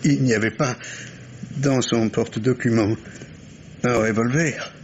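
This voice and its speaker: An actor playing a distraught, insistent father in 1950s French radio drama style, halting and repeating himself. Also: mid-range voice, steady tone, lightly acted. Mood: uneasy, guarded. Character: distraught bourgeois husband and father, anxious and insistent. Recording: dull, noisy old radio recording.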